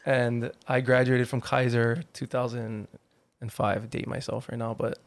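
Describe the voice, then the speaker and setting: A man in his thirties from Hawaii tells his story as a podcast guest, his mid-pitched voice carrying a gravelly rasp. He talks in a loose, easygoing way, full of "like" and "you know". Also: unhurried. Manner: relaxed and chatty, with frequent "like" and "you know". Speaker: guest, artist manager. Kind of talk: casual podcast interview.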